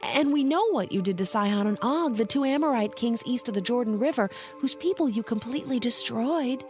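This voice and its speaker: A woman's voice: fairly high pitch, expressive, fairly quick, darkish tone, faint music behind, lightly acted. A woman playing Rahab, an anxious, pleading woman of ancient Jericho, in a dramatized audio Bible. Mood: fearful, pleading.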